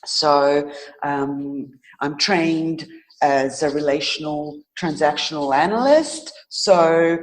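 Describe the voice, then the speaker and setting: Slow, halting middle-aged woman. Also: deep voice, low-quality recording. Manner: halting, searching for words, thoughtful. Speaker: interview guest, author. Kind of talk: reflective personal storytelling in an interview.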